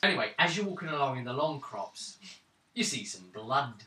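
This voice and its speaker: A man acting as game master, describing scenes of a tabletop roleplaying game in halting, pausing phrases. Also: mid-range voice, sing-song, pushed and bright. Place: indoors around a gaming table. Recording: roomy, distant mic, clearly noisy.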